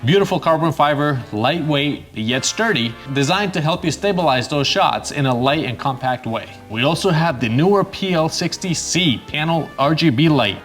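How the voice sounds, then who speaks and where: A man from Costa Rica hosting his photography channel in a chatty, upbeat way, with bursts of excitement. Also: mid-range voice. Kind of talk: casual YouTube presenting.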